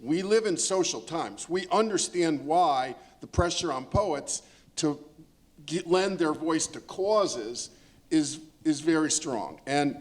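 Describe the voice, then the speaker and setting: A man's voice: a little high, darkish tone. A man on a panel explaining his point with conviction, emphatic and repeating phrases for effect. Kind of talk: impassioned explanatory panel talk.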